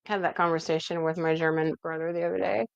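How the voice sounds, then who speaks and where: A woman's voice: low voice, steady tone, fast talker, gravelly, clean tone, dry recording, highs cut off. A woman hosting a cooking show in a home kitchen, explaining steps in a folksy, chatty way as she works. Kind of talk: casual cooking demonstration.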